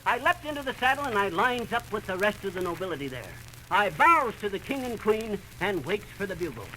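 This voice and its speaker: A man's voice: high voice, sing-song, a little fast, sharp tone, exaggerated voice. An actor playing a boastful rustic man with a rural American accent, telling tall tales in an old-time radio comedy. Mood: excited narrating. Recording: noisy vintage radio recording.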